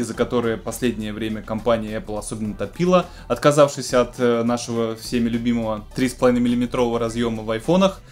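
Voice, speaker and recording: A man in his thirties presenting music technology news in a casual, semi-scripted style from a home studio. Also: mid-range voice. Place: small home studio room with keyboards.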